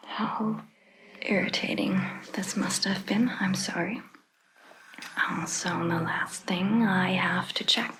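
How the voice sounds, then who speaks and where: A woman speaks in a hushed whisper with a noticeably creaky edge. She takes her time, leaving very long, slow gaps between short phrases. She plays a caring vampire doctor in an ASMR roleplay, gently soothing and reassuring the listener. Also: mid-range voice, poor audio. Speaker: ASMR performer.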